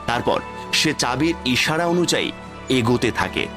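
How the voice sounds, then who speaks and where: A man narrating a cartoon fairy tale in steady, plain scripted storytelling. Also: medium pitch, moderate pace. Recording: faint background music. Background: faint music.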